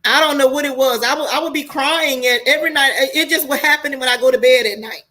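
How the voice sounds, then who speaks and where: A woman in her late forties with an American accent hosts a casual online monologue. Her voice is high-pitched and bright with a forceful edge. She talks straight to viewers in a candid, preachy, colloquial way and swears freely. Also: fast. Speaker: online show host talking to viewers.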